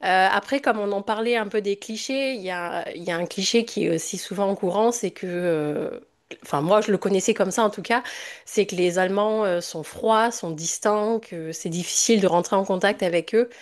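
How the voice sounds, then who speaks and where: A woman's voice: medium pitch, moderate pace. A woman from France with a French accent, speaking as a podcast guest in a casual, reflective and somewhat hesitant way. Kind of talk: casual interview conversation.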